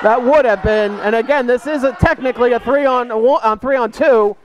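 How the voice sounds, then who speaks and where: High-voiced man calling wrestling. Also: a little fast, dull audio. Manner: excitable, wisecracking, calls moves and pinfall counts. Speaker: play-by-play commentator. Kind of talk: wrestling commentary. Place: small indoor wrestling venue with brick walls. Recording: loud crowd noise, live event. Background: cheering crowd and loud venue noise.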